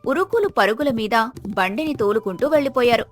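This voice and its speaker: A woman narrates a moral tale from a script. Her voice sits a little high, and music plays underneath. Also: animated, brisk. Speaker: story narrator.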